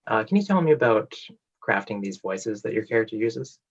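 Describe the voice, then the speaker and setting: A man's voice: fairly low pitch, very animated, a little fast, husky. A man interviewing an actor, asking thoughtful questions with some "uh" fillers. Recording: dull lo-fi audio.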